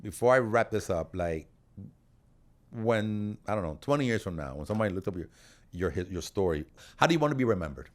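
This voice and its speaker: A man's voice: lowish voice, animated, a little fast. A man hosting a casual conversational interview, talking in a rambling, informal way with occasional asides. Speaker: podcast host interviewing guests.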